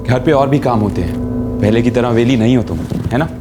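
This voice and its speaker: An actor playing a loving, placating young husband in a TV crime drama, reasoning and sprinkling in English words. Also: male voice, medium pitch, a little fast, lightly acted. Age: young adult. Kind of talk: Hindi TV crime serial acting. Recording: dramatic background music.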